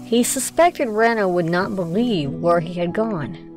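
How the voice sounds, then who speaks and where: A woman's voice: lowish voice, a little slow, music in the background. A woman reading scripted narration for an audio drama.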